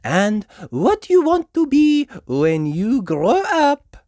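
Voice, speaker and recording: Woman as slow, high-pitched lady gazelle teacher. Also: big pitch swings, dark tone, dry recording, clean studio sound. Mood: encouraging, instructive. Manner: drawn-out, encouraging teacher talk. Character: Madame Gazelle, encouraging female playgroup teacher gazelle. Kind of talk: children's storybook character voice.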